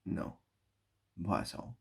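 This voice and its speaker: A man's voice: very slow, fairly bright tone. A man voicing a stubborn talking dog who speaks only French, in terse, insistent words, for an improvised comic story.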